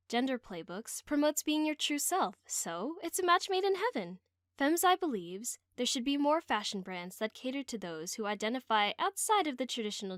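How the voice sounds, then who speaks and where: Female sponsor announcer, higher register, lively melodic promo read, dry and close. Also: no room sound.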